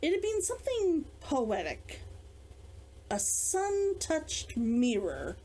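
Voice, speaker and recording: A woman narrates a fantasy novel in a fairly high voice. Her intonation is very lively, moving freely up and down as she tells the story expressively. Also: slow pace, poor audio. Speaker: author reading own book.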